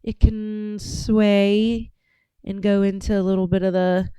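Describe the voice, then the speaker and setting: A woman's voice: mid-range voice, little pitch movement, slow pace, mellow, clear, resonant tone, dry recording. A woman from the US South hosting a casual livestream, talking in a chatty, rambling way that often trails off and restarts. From Georgia, US South. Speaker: livestream host.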